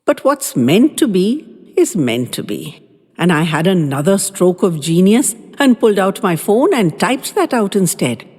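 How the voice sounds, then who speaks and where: Husky-voiced woman narrating with lively pitch. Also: a little slow. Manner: expressive, dramatic reading with wide swings. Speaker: audiobook narrator. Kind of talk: scripted first-person story narration. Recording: long reverberant hall.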